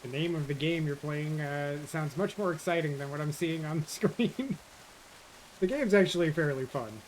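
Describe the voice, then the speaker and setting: A man's voice: fairly high pitch, moderate pace, slight background noise, low-quality recording. A man from New York chatting casually with his viewers while streaming a game, answering chat as he plays. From New York, USA. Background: faint game music.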